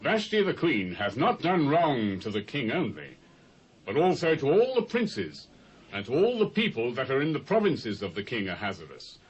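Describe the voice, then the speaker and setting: A man's voice: medium pitch, wildly swooping pitch, moderate pace, poor audio. A British actor playing an indignant Persian prince who advises his king in formal, emphatic oratory in a scripture drama. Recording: slightly rough old cassette transfer.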